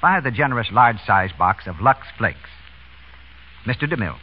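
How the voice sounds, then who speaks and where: A man's voice: medium pitch, very animated, normal speed, husky. An American man announcing and selling on a 1930s radio show with polished, emphatic delivery. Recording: dull, faintly noisy vintage radio.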